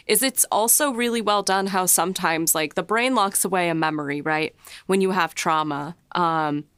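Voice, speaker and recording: A woman's voice: on the low side, fairly bright tone, clean tone, no room sound. A woman co-hosting a casual discussion, speaking in a thoughtful, tentative way. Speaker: writer and podcast co-host.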